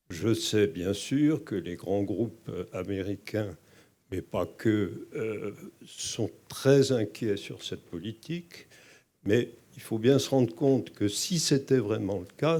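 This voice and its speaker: Man, low gravelly voice, reflective, deliberate, round-table remarks. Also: warm tone. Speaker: conference participant making comments.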